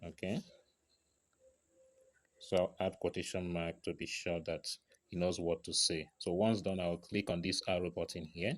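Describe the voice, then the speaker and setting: A man's voice: deep voice, level tone, moderate pace, long pauses. A man narrating a casual step-by-step screen tutorial, often checking in with short tags like right and okay. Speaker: tutorial presenter.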